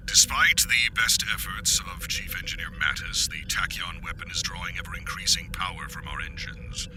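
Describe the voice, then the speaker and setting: A man's voice: low voice, normal speed, natural voice, rough recording. A man playing a terse, grave alien starship captain dictating a formal log in a sci-fi tabletop game. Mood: grave, worried. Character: terse Andorian starship captain, increasingly worried, reading log. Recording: music playing under the voice.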